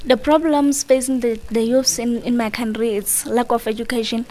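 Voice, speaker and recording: A woman's voice: fairly high pitch, fairly even intonation, moderate pace, dark tone. A young woman with a Southern African accent, giving an interview statement as a youth delegate. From Lesotho. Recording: background noise and ambience.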